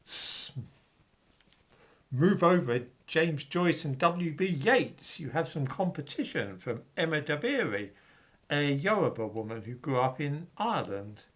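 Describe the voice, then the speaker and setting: A middle-aged man talks to camera, reading out passages and breaking off with dry, dismissive remarks. His voice is slightly husky and his pitch rises and falls in a lively way. He sounds sarcastic and unimpressed. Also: measured pace. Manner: dismissive, sarcastic asides while reading quotes. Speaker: commentator on history books. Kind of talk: reading aloud and commenting to camera.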